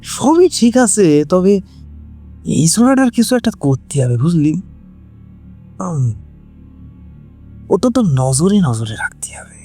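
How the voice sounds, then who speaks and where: A man's voice: high voice, sing-song, slow, long pauses, warm tone, soft background music, exaggerated voice. A man acting a ruthless, scheming occult villain in a gloating, menacing manner for an audio horror drama.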